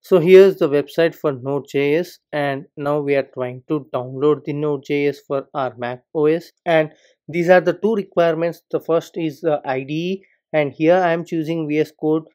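A man's voice: fairly high pitch, normal speed. A man narrating a screen-recorded coding tutorial, walking through each step and leaning often on the word "particular". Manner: steady step-by-step explaining, repeats "particular".

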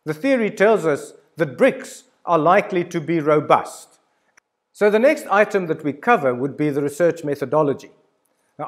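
An older man in his sixties delivers a conversational lecture. His voice sits a little high for a man and moves up and down with great animation. He addresses the listener directly and drives his points home emphatically. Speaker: university lecturer. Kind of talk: informal instructional lecture with storytelling examples. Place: indoor studio with plain backdrop.

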